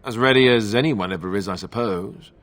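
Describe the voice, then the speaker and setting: A man's voice: on the low side, brisk, a bit bright, close to an everyday voice. A man voicing a young, self-doubting heir to a throne in a fantasy video game, earnest and wry, with a conversational, hesitant way of talking. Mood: uncertain resolve.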